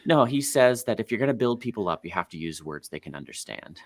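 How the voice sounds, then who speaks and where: A man's voice: fairly low pitch, big pitch swings, fast, dry recording. A man in his forties, a guest author, explaining things animatedly in a podcast conversation, with frequent fillers. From United States. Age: 40s.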